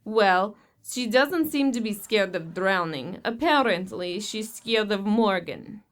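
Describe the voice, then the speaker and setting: A woman's voice: mid-range voice, animated, takes it slowly, soft background music. A woman playing a worried, bitter young girlfriend in an audio horror drama, speaking earnestly and with exasperation.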